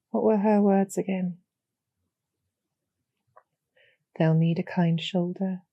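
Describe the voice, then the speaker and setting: A woman playing a kind, calm demon general in a roleplay audio drama, speaking in a measured, formal and gently persuasive way. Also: on the low side, vocal fry, slightly rough, no echo, muffled recording. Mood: gentle, formal.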